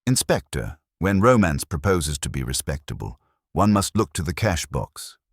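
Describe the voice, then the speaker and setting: A man voicing a prim, shrewd older woman, genteel and dryly amused, with crisp, precise speech in a storybook reading. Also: deep voice, sing-song, normal speed, dry recording, clean studio sound, full character voice. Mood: confiding, wry.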